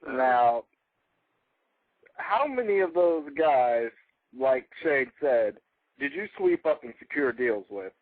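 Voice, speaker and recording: A man hosting a radio talk show, teasing his co-host with quick questions. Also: mid-range voice, very animated, no echo, phone-quality sound. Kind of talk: casual chat, hosting.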